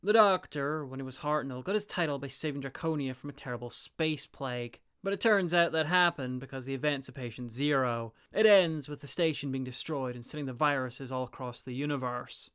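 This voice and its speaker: A man's voice: medium pitch, a little fast, few pauses, a bit bright, clear, resonant tone, dry recording, clean studio sound. A man narrating a scripted review as host of a guide to audio stories.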